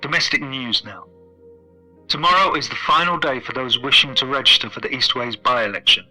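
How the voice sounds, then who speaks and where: A man playing a radio newsreader, delivering a scripted bulletin in a formal, measured, impersonal way. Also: medium pitch, pushed and bright, faint music behind, rough recording. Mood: neutral, formal.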